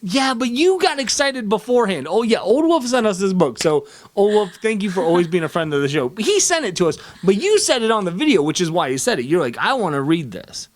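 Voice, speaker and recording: A man's voice: sits high, very animated, a little fast, few pauses. A man hosting a video channel, chatting casually and enthusiastically as he interviews his co-host.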